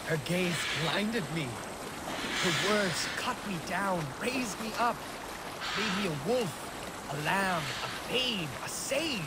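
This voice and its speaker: An actor playing a proud young Viking warrior, speaking in a measured, wistful and poetic manner in a video game. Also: male voice, high-pitched, lively intonation, exaggerated voice. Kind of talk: dramatic monologue in a video game. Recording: loud water and boat noise. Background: rushing water, boat ambience.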